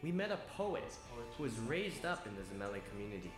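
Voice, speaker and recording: Male documentary narrator, earnest and measured, roomy sound, music bed. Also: medium pitch, big pitch swings. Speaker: video narrator. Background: instrumental music.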